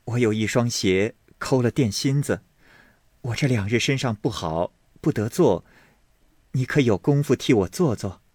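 A man voicing a gentle young maid in an audiobook reading of a classic novel, speaking softly and mildly. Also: mid-range voice, a little slow, breathy edge, no room sound, clean studio sound. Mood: mild, coaxing. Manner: soft, hushed, mild.

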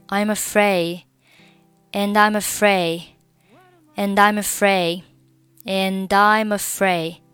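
A woman teaching English pronunciation, reading dialogue lines and explaining sound linking with slow, careful repetition, a Mandarin speaker. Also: medium pitch, a bit bright, clean tone, no echo. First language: Mandarin Chinese.

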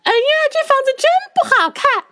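A woman's voice: very high voice, big pitch swings, a little fast, heavy background noise, pitched above the performer's own voice. A woman voicing a nosy, huffy little girl who exclaims and complains in a children's radio play. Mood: snooty. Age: child figure.

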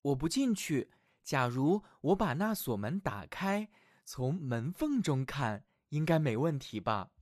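A man's voice: fairly high pitch, big pitch swings, measured pace, a little mellow, dry recording, clean studio sound. A man voicing a curious, fibbing fourteen-year-old girl in a storybook tale, with a childlike, insistent manner. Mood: curious, tempted.

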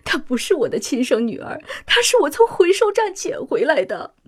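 A woman's voice: high-pitched, sing-song, moderate pace. An actress playing a devoted, anxious working-class mother in a science-fiction radio drama, pleading and sighing emotionally. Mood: tearful, loving.

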